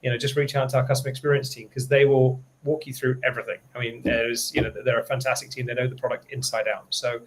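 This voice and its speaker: Quick, emphatic man co-presenting. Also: mid-range voice, little pitch movement, poor audio. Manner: emphatic, recommends strongly, repeats words. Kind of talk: enthusiastic product webinar talk.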